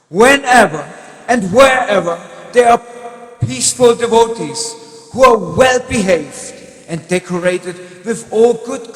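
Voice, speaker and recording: Man performing a high, slow, echoing divine lord. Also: fairly bright tone, exaggerated voice. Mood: benevolent, blessing. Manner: solemn, deliberate, declamatory. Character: male Supreme Lord, half-lion deity, solemn, commanding, benevolent. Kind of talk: slow dramatic scripture reading. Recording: echoey hall, long reverb.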